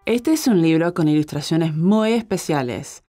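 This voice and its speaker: A woman's voice: deep voice, lively intonation, normal speed, mellow. A woman voicing a friendly, helpful young shop assistant in a children's cartoon dub, with a Latin American Spanish accent. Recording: music behind the voice.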